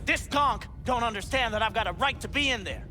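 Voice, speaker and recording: An actor playing an indignant male club patron who argues loudly and sounds entitled over club music. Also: very high-pitched, expressive, brisk. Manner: loud, entitled, confrontational.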